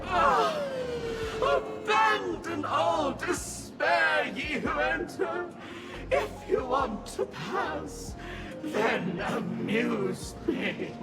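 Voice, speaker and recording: An actress voicing a theatrical, bossy gatekeeper in a video game, grandiose and declamatory. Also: female voice, high voice, sing-song, extremely slow, shouting, over loud music, exaggerated voice. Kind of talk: video game cutscene acting.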